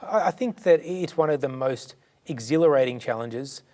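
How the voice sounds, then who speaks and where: Earnest Australian man, mid-pitched voice. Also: normal speed, dark tone. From born in India, raised in Sydney. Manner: earnest, fluent, with frequent restarts. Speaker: charity director, guest. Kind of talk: interview answers.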